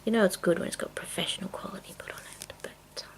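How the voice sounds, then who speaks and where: A woman chatting casually in a soft, mostly whispered ASMR style, rambling along, with tapping and clicking from small containers. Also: mid-range voice, steady tone, fast. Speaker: video creator and host. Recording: noticeable noise, clicking sounds. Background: tapping and clicking of makeup containers.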